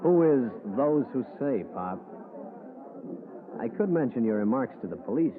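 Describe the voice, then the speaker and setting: An actor playing a dry, wisecracking hardboiled private detective who also narrates the story, in 1940s radio style. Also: male voice, medium pitch, expressive, a little slow, a crowd in the background, natural voice. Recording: tinny old radio broadcast.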